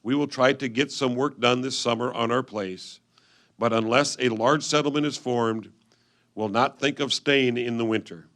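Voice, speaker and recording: Guy reading old letters out loud, creaky gravelly voice, solemn and measured. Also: medium pitch. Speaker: reader of historical letters.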